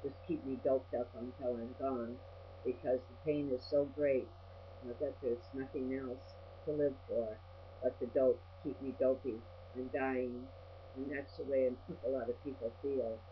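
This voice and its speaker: A woman's voice: a bass voice, fairly even intonation, moderate pace. An older woman video blogger giving a rambling personal monologue in a blunt, salty-tongued, ranting manner. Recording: dull lo-fi audio with mains hum.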